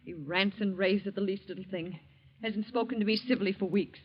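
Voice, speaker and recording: A woman playing a fretful, doting middle-aged mother in a 1940s radio drama, fussing and hesitant, with a breathy voice. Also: mid-range voice, a little fast, close to an everyday voice. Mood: weary, fed up. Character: fretful, doting mother and long-suffering wife. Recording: muffled 1940s radio recording.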